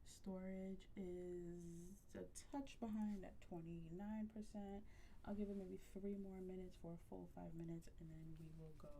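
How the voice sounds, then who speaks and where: Soft-spoken woman with a gravelly edge. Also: fairly low pitch, steady tone, moderate pace, slight background noise, poor audio. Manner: soft-spoken, chatty, with frequent ums. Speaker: livestreamer. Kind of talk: casual gaming livestream chat. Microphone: close to a desk microphone.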